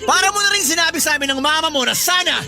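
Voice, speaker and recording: A man playing an enraged, protective older Filipino father in a melodrama, scolding forcefully. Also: extremely high pitch, expressive, very fast, creaky, soft background music, full character voice. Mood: hurt, reproachful.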